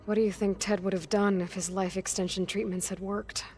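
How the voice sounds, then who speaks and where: A woman's voice: mid-range voice, level tone, fairly quick, a little mellow, faint music behind, natural voice. A woman voicing a determined young female warrior in a video game, wry and plain-spoken. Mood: wry, curious. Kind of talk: scripted video game dialogue.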